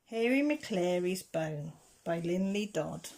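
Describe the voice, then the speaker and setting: A woman reading a children's rhyming picture book aloud with measured, deliberate phrasing. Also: fairly low pitch, lively intonation, darkish tone. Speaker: reader of children's picture books. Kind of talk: storybook read-aloud.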